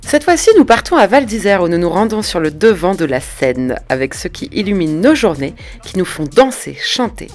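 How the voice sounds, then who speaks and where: A woman presenting the episode in scripted narration with an expressive delivery. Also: on the low side, moderate pace, hardly any pauses, faint music behind.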